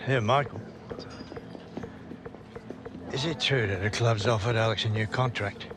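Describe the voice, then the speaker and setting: An actor playing a caring, watchful old grandfather in a video game cutscene, speaking gently and earnestly. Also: lowish voice, a little fast, noisy background, natural voice. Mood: concerned, sincere. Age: older. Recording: loud music behind the voice. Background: music.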